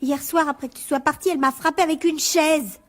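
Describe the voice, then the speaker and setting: A frightened teenage schoolgirl played by a woman in a radio drama. Her voice is very high, and she pleads anxiously and insistently, as someone desperate to be believed. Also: fairly even intonation, fairly quick, close to an everyday voice. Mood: anxious, pleading. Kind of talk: French radio drama acting.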